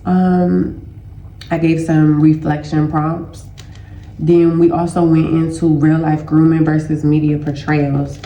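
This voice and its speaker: A woman's voice: low-pitched, level tone, takes it slowly, mellow, slight background noise. A woman with a stuffy nose and sore throat, talking casually to viewers as a healing educator and host, loose and conversational. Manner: conversational, loose, addresses listeners as y'all. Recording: roomy echo.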